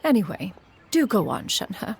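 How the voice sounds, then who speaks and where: A woman's voice: mid-range voice, very animated, measured pace, breathy edge, heavily acted. A woman voicing a proud, ancient female bird immortal in a video game, speaking in a haughty, archaic and pompous manner. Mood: proud, boastful. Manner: pompous, archaic, calls herself "one". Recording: faint music behind the voice. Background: game music.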